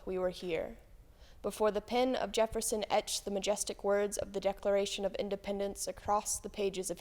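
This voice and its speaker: A woman reading quotations aloud in a solemn, measured, declarative way. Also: mid-range voice, darkish tone. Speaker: church member reading aloud. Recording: long echoing reverb.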